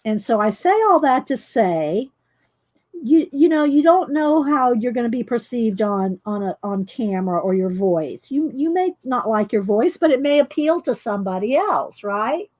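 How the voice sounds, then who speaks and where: A talkative middle-aged woman whose loud voice carries, pitched a little high. She chats and rambles easily, laughing often, in a relaxed aside while she works. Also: moderate pace. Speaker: coloring channel host. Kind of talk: casual chat while coloring on a livestream.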